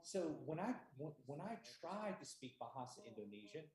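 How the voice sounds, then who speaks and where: An American man giving a conference talk on teaching, speaking emphatically and stressing key words slowly. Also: a little high, big pitch swings, darkish tone. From United States. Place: remote conference stream. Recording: echoey room.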